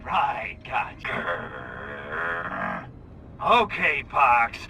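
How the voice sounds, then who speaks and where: A man's voice: high voice, sharp tone, slight background noise, exaggerated voice, low-quality recording. A man voicing a cocky, sarcastic little alien invader in a video game cutscene. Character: brash, wisecracking little male alien invader.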